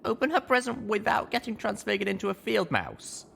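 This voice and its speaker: A man's voice: high voice, very animated, brisk, vocal fry, a bit bright, slight background noise, voice pushed up, exaggerated voice. A man voicing an earnest, exasperated young female pony in an audiobook, with an emphatic, incredulous manner. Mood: wary disbelief. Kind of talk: acted audiobook dialogue.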